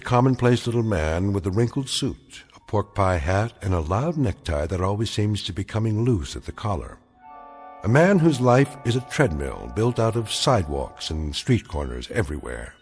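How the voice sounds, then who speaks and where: An actor playing a grave, omniscient narrator of uncanny radio tales, speaking in clipped, portentous, measured phrases. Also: male voice, fairly low pitch, slightly rough, full character voice. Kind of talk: dramatic scripted radio narration. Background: music.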